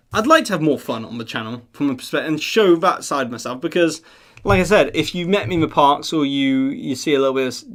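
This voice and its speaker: Guy doing a casual live stream, kinda rambly and earnest, medium pitched voice. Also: fairly bright tone. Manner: rambling, earnest, self-deprecating. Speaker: live stream host of a theme park news channel.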